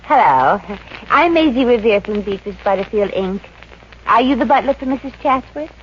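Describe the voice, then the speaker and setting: A woman's voice: on the high side, lively intonation, normal speed, natural voice. An actress playing a good-natured, wisecracking working woman in a 1950s radio comedy, with a wry, breezy manner. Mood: breezy, curious. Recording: muffled, noisy old radio recording.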